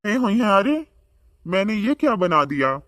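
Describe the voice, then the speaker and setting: A man's voice: sits high, sing-song, moderate pace, a bit bright, no room sound. A man voicing a boastful, bickering village man in a comedy cartoon, speaking with an Awadhi accent and taunting banter. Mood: mocking. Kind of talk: comedic cartoon dialogue in rural dialect.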